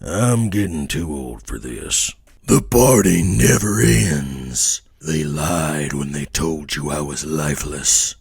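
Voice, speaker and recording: A man voicing a weary, gloomy, menacing old animatronic in a video game, with a gravelly, husky voice. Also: extremely low pitch, expressive, takes it slowly, a little mellow, no echo, clean studio sound, exaggerated voice. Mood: weary, ominous. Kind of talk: video game character voice lines.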